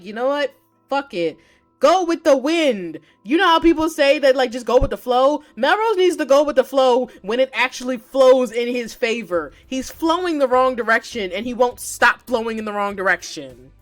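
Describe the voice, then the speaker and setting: Excitable, foul-mouthed woman streaming a game. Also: a little high, moderate pace, few pauses, faint music behind. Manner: excitable, profane, slangy, exclaims loudly. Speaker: live streamer playing a visual novel. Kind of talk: game commentary, casual reactions between reading aloud.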